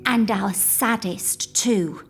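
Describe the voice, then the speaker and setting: A woman playing a grieving, imaginative young girl who confides in her toy polar bear in an audio drama, hushed and childlike. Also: medium pitch, slow, gravelly, soft background music. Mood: brave, playful. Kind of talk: intimate audio drama monologue.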